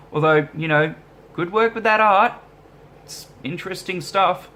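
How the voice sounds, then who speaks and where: A man with a somewhat high voice chats loosely in a casual vlog. He is easygoing and self-deprecating, and his talk rambles with fillers as he answers viewer questions about his band. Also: vocal fry, sharp tone. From Australia. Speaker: musician vlogger.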